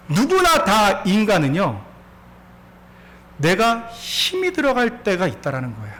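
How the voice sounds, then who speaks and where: A pastor in his forties, a man preaching a sermon. His voice sits high and swings up and down a great deal. He tells his story with laughter and keeps asking the congregation questions. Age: 40s.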